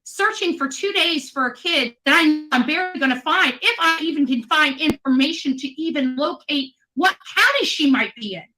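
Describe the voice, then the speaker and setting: Woman livestreamer, high-pitched and tense, worn out, defensive and foul-mouthed, venting at critics. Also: lively intonation, normal speed, hardly any pauses, sharp tone, rough recording. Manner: combative, profane, defensive. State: tired. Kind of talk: angry unscripted livestream rant.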